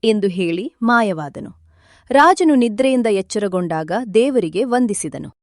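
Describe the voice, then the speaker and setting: A woman giving scripted storybook narration for a cartoon moral tale, in an even storytelling manner. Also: mid-range voice, a little slow, no echo, clean studio sound.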